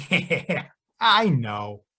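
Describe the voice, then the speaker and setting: An actor playing a world-weary, excitable bartender in a noir audio drama, grumbling in a folksy way. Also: male voice, a little high, drawn-out words, husky, heavily acted. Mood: chummy.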